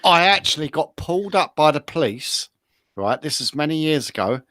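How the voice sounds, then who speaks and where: A man's voice: on the high side, expressive, normal speed, pushed and bright, no room sound, studio quality. A man taking part in a show as a contestant, chatting casually and telling anecdotes.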